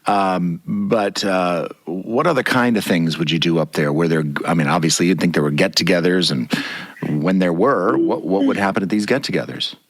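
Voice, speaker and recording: A man's voice: low voice, normal speed. A man hosting an interview podcast, asking curious, meandering questions with frequent "uh"s. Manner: warm, curious questioner with many "uh"s. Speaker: podcast host. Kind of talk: interview conversation.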